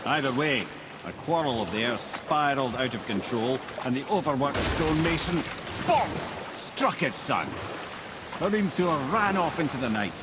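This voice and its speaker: Lively old Scottish storyteller played by an actor. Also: male voice, medium pitch, background noise, lightly acted. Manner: theatrical, lilting storytelling. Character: wise old male storyteller, wry, learned, talkative. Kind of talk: dramatic video game storytelling.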